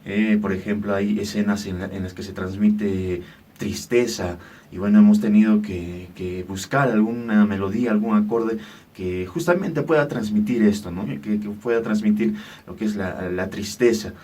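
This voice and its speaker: Guy, lowish voice, bit far from the mic, halting testimonial. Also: darkish tone. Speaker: composer for a radio drama. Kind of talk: testimonial talk. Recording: distant from the microphone.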